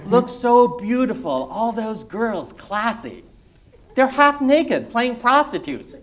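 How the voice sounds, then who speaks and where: A man voicing a proud, boastful older immigrant father, a successful banker who chatters loudly and excitedly about his son. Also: extremely high pitch, takes it slowly, voice pushed up, full character voice.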